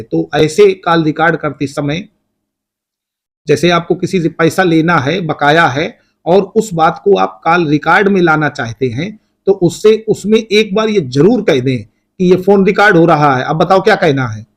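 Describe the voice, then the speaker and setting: A man with an Indian accent, a lawyer explaining legal points to viewers in a deliberate way, often rephrasing what he says. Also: a little high, breathy edge. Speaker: lawyer giving legal advice.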